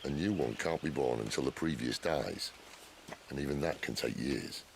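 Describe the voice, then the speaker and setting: An actor playing a worldly, wry rebel leader in a fantasy video game, speaking with measured, sardonic understatement in a gravelly voice. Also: male voice, lively intonation, slight background noise, heavily acted. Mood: musing, grave. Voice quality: very deep, gravelly voice.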